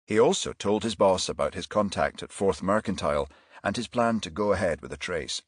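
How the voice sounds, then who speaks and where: Guy reading a crime audiobook, lowish voice, really dry studio-type sound. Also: animated, fairly quick, slightly rough, no room sound. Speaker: narrator. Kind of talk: scripted audiobook narration of a crime thriller.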